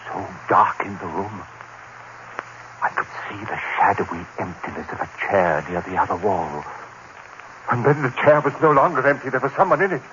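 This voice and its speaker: An actor playing a haunted man descending into murderous madness, in melodramatic old radio horror style, moving between hushed dread and outcry. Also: on the low side, animated, moderate pace. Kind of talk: 1940s radio horror acting. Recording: muffled noisy old radio recording.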